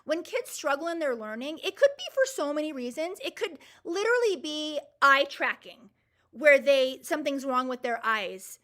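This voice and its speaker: A woman's voice: high-pitched, lively intonation, normal speed, fairly bright tone. A woman in her forties hosting a live Q&A, answering viewer comments in an enthusiastic, emphatic and reassuring way. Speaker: host.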